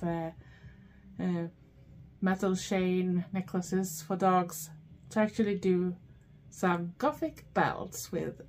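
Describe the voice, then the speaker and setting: A woman's voice: medium pitch, slight background noise. A middle-aged woman from Sweden with a Swedish accent, chatting casually as a vlogger through a haul, rambling with many fillers. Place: small dark room indoors.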